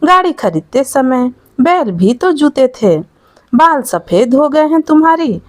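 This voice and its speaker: A woman's voice: high voice, big pitch swings, unhurried, no room sound. A woman storyteller voicing a cunning, bullying male merchant who scolds and lectures in a moral tale. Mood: threatening, smug. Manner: scolding, lecturing, self-righteous.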